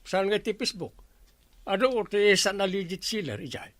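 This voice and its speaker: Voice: mid-range voice, lively intonation, moderate pace, a bit bright, no echo, studio quality, close to an everyday voice. Actor as scolding Ilocano village captain. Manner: scolding, lecturing. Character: village captain, sceptical scolding husband of the shopper. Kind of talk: Ilocano radio comedy drama acting.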